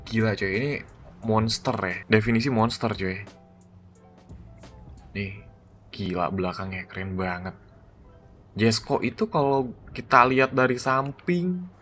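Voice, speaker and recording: A man giving excited, slangy gameplay commentary as a gaming YouTuber. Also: mid-range voice. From Indonesia. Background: background music.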